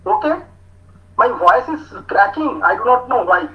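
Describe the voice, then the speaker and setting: A man with an Indian English accent giving an online talk to students, speaking slowly with many hesitations as he reads out their answers. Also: high voice, animated. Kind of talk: online lecture with student chat. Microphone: video call. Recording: muffled video call audio.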